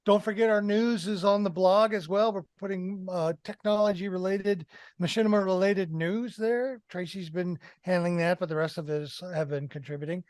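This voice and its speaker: An older man in his sixties with a high-pitched voice hosts a film review chat. He speaks emphatically, repeating phrases to press a point. The sound is dry and close on a headset. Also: fairly even intonation, normal speed, darkish tone, no echo. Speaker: podcast host. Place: indoor room on a video call.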